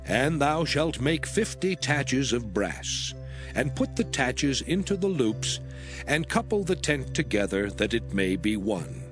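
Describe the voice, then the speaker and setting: A man narrating a dramatized scripture reading in a solemn, measured, formal manner. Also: mid-range voice. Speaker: audio Bible narrator. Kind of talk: dramatized scripture reading from the King James Bible. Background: background music.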